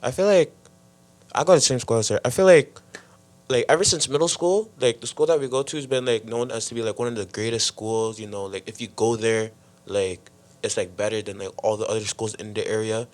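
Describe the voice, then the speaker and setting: A teenage boy speaking casually on a youth podcast, halting and leaning on "like" and "I feel like". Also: fairly low pitch. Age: teens. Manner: halting, heavy use of "like" and "I feel like". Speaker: podcast panelist. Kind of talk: casual discussion.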